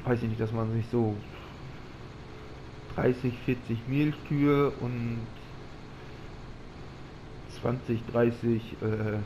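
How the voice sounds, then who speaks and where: A man with a slight cold chats his way through a farming game, thinking aloud in a loose, rambling manner. His voice sits at a middling pitch. A steady hum of noise runs under the recording. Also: slow, long pauses. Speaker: gaming channel host. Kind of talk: casual let's-play commentary. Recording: audible background noise.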